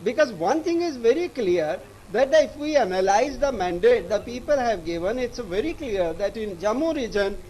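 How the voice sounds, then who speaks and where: A man with an Indian English accent giving a live news report in a halting, repetitive way. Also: extremely high pitch, animated, darkish tone, slight background noise, poor audio. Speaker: news correspondent.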